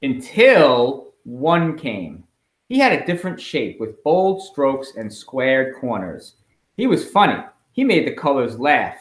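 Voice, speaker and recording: A man reading a picture book aloud to children in an expressive, emphatic storytelling way, as a school principal at home. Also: medium pitch, slow. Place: at home, indoors. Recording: roomy, echoey home recording.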